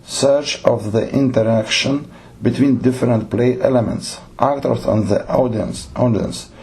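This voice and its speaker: Man, Lithuanian accent, husky voice, very slow, halting lecture delivery with self-corrections. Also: medium pitch, steady tone, darkish tone. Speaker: theatre director lecturing. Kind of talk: slow read lecture with numbered points.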